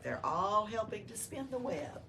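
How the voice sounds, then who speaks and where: A woman's voice: medium pitch, very animated, measured pace, pushed and bright, distant mic. A woman reading a story aloud to children as a library storyteller, explaining it in simple words. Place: library room. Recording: faint background noise.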